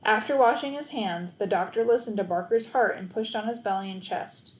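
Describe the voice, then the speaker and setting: A woman's voice: medium pitch, a little fast. A woman narrating a children's novel aloud as a storybook reader. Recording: echoey, roomy sound.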